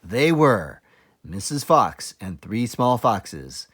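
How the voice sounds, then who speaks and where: A man reading a children's book aloud as a teacher librarian, explaining things deliberately for young listeners. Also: fairly low pitch, big pitch swings, a bit bright, breathy edge. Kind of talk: children's storybook read-aloud.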